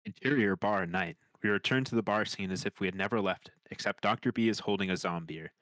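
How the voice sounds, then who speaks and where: Low male voice reading screenplay action. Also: fast talker, fairly bright tone, no room sound, poor audio. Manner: reads out scene headings and action. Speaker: podcaster reading stage directions. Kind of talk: scripted narration at a table read.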